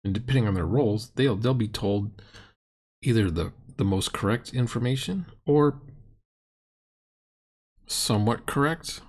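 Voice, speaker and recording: A middle-aged man comments casually on a tabletop game. His voice is slightly low and rough-edged with huskiness, and his delivery is sardonic and fault-finding. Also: animated, unhurried, pauses often. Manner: sardonic, critical. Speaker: commentator reviewing a roleplaying game session.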